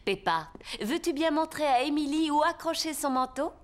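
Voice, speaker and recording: A woman's voice: sits high, animated, normal speed. A woman voicing a cheerful, encouraging lady gazelle nursery teacher in a preschool cartoon.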